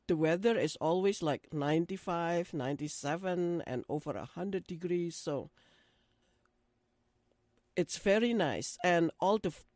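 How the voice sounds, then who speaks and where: A woman's voice: on the low side, darkish tone, no room sound, studio quality. An older woman from Indonesia, speaking hesitantly with many fillers and frequent laughs in an oral history interview. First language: Dutch.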